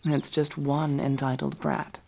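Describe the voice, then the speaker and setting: A woman's voice: a bass voice, level tone, unhurried, gravelly, mellow, slight background noise, heavily acted. A woman playing a wry, loyal elf rogue in an intimate ASMR fantasy roleplay, with a casual, teasing, dryly supportive way of talking. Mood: thoughtful, encouraging.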